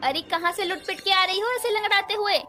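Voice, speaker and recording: A woman acts out a feisty mother-in-law from a Hindi cartoon tale. Her voice is high and her manner scolding and homely. Music plays clearly behind her. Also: steady tone, brisk. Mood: nagging. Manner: scolding, colloquial, sharp-tongued. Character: feisty, protective mother-in-law of five gym-going daughters-in-law. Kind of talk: Hindi moral-story cartoon dubbing.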